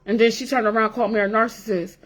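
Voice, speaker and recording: Forty-something woman ranting on her vlog, high voice, blunt and cussing a lot. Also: little pitch movement, rapid-fire, rough recording. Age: 40s. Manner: blunt, profane, repetitive, emphatic. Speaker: vlogger. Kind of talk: casual rant to camera.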